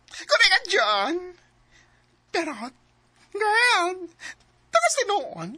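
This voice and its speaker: Gossipy cebuano college girl, super high squeaky-bright voice, teasing, radio drama. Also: huge pitch swings, very slow, dry recording, heavily acted. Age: young adult. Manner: exclamatory, teasing. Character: excitable, gossipy, teasing female college friend.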